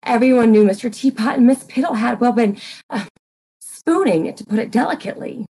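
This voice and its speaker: A woman's voice: on the high side, animated, a little fast, creaky, warm tone, breathy edge, exaggerated voice. A woman playing a flustered, scatterbrained society wife who gossips coyly and hesitantly in a comic murder mystery reading. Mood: coy, gossipy. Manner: fluttery, hesitant, coyly confiding.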